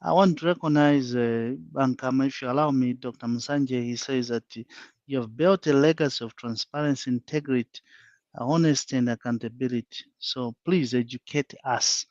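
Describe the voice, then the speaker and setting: A man's voice: medium pitch, normal speed, darkish tone, no room sound, highs cut off. A man with a Zambian accent, a panel guest speaking frankly and emphatically in a live online discussion, laying out his points one by one.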